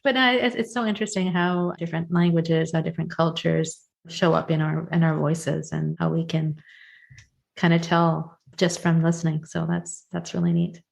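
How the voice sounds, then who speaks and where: A woman's voice: deep voice, little pitch movement, moderate pace, vocal fry, darkish tone. A woman hosting an interview, asking questions with frequent encouraging interjections. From Canada, of Indian heritage. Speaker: podcast host. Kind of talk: conversational interview.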